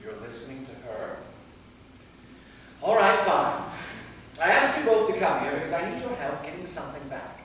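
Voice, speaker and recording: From far off in a reverberant hall, a man acts out an exasperated centuries-old male vampire. His put-upon delivery swings widely in pitch as he reads a comedy on stage. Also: very animated, normal speed, long pauses, fairly bright tone. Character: exasperated immortal male vampire from the Middle Ages. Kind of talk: staged reading of a comedy play. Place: theater, recorded from a distance. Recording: echoey hall, far mic, faint noise.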